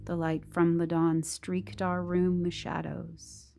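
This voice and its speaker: A woman acting a haunted, troubled narrator in a scripted first-person ghost story, slow and brooding. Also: lowish voice, monotone, creaky, dark tone, soft background music. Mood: brooding, tender.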